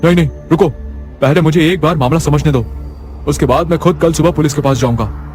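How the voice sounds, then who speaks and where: A man's voice: fairly high pitch, a little fast, darkish tone, faint music behind, voice pushed up, close to an everyday voice. A man voicing an angry, domineering husband and hospital boss, scolding and insistent. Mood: urgent, agitated.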